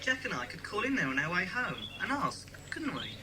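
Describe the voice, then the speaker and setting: A woman's voice: lowish voice, big pitch swings, fairly quick, crowd noise. A woman acting a keen, self-assured schoolboy club member in a dramatised children's audiobook. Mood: thoughtful.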